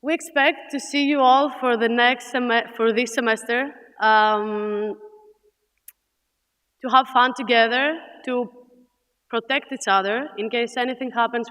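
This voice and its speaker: A young woman with a slightly high voice gives an informal welcome talk as a student representative. Her voice rings through a large hall with long reverb. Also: fairly bright tone, clear, resonant tone.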